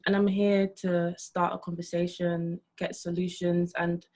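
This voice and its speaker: Woman, kinda flat low voice, earnest and passionate. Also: a little slow. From London. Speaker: panel guest.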